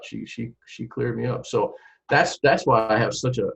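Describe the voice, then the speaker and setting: A man in his forties talking about his music career in a casual interview, animated and full of restarts and repeated words. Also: mid-range voice, normal speed, vocal fry. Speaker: trumpeter and singer, interview guest. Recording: dull lo-fi sound.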